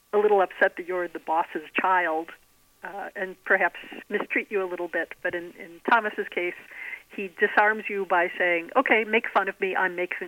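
A woman speaking as an author guest in an interview, explaining things articulately in measured phrases. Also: mid-range voice, no echo, phone-quality sound. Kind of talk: interview conversation.

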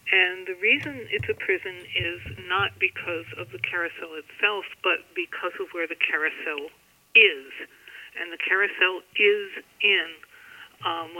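A woman being interviewed as an author, explaining things in a deliberate, halting way with pauses between phrases over a thin telephone line. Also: fairly low pitch, bright, forceful tone. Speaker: author guest. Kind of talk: interview, explaining own novel.

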